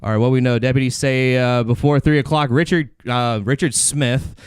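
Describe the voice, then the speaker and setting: A man's voice: medium pitch, steady tone, moderate pace, clean tone, dry recording. A man hosting a casual chat, riffing in slangy language and laughing often as he talks. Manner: laughs often while talking; slangy, says dude and like a lot. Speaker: podcast host.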